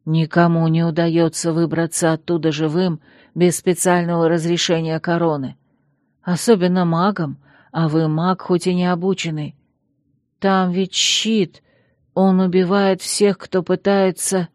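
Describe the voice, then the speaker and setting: A woman voicing a young female werewolf bodyguard, soft, reserved and polite. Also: on the low side, level tone, measured pace, no room sound, clean studio sound, close to an everyday voice. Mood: astonished.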